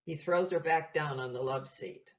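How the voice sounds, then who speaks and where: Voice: mid-range voice, normal speed, dull audio. A narrator reading out scripted stage directions for an audio play in a plain, descriptive way.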